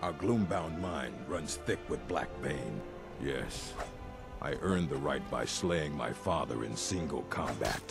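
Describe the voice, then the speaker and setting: An actor playing a proud, battle-hardened orc chieftain in a fantasy game, stern and declamatory. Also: male voice, a bass voice, expressive, takes it slowly, gravelly, loud music behind the voice, full character voice. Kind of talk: fantasy video game dialogue.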